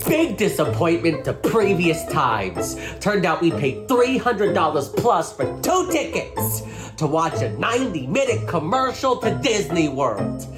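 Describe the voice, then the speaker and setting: Woman, dramatic reading, drawn-out pacing, animated intonation, background music. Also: lowish voice. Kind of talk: dramatic reading of an online review.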